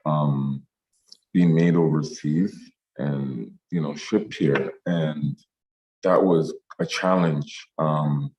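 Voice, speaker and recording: A man from Jamaica speaking as a ceramic artist in an online meetup interview, halting with many fillers and restarts. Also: extremely low pitch, darkish tone, lo-fi sound.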